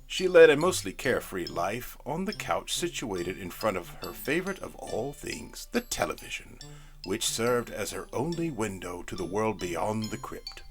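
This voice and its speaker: A man reading a spooky storybook narration in a playful, expressive way. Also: lowish voice, normal speed, faint music behind.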